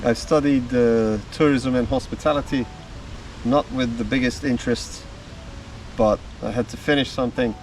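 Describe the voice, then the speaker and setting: A man, talking outdoors in the woods as a drumming vlogger, easygoing and unhurried. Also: mid-range voice, steady tone, darkish tone, a little hiss or hum. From Slovakia. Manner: slow, easygoing storytelling with small pauses. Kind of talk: casual self-introduction to camera. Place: outdoors in woodland. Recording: distant mic with faint music behind.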